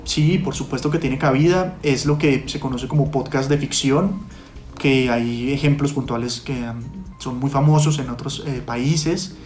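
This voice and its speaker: A man's voice: medium pitch, a little slow, a little mellow. Male interview guest, mid-range voice, conversational and hesitant, music underneath. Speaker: podcast producer, interview guest.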